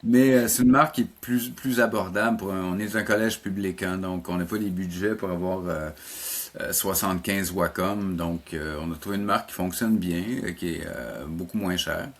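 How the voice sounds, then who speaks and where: A middle-aged man with a low voice and a Quebec French accent answers interview questions like a teacher. He explains casually and carefully, rephrasing as he goes. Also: steady tone, moderate pace. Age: middle-aged or older. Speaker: interview guest, animation teacher.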